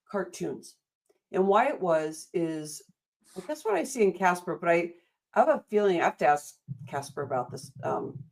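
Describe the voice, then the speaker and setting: A woman's voice: on the low side, a little mellow. Enthusiastic American woman hosting an interview. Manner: enthusiastic, rambling, affirming. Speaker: interview host. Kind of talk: upbeat motivational interview.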